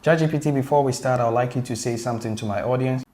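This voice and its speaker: Male host, casual tutorial delivery, eager and conversational, mid pitch. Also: fast talker, darkish tone. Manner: eager, chatty, addresses the audience directly.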